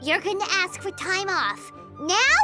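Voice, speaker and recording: A woman voicing a tiny, excitable, childlike companion creature in a video game, squeaky and exclamatory. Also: unhurried, over loud music, full character voice. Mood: astonished. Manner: exclamatory, refers to herself in third person. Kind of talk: scripted video game dialogue.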